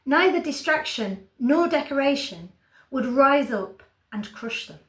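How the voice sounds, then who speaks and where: A woman performing a dramatic spoken-word poem with measured, deliberate phrasing. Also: on the high side, fairly bright tone. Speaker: poetry performer. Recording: echoey, roomy recording.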